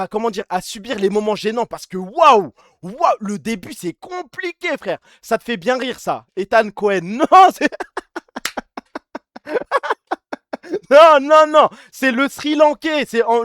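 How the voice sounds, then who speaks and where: A man's voice: high-pitched, fairly bright tone, no room sound, clean studio sound. A man hosting a live stream in casual chat, giggly and exclamatory, talking in slang. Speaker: streamer hosting a role-play.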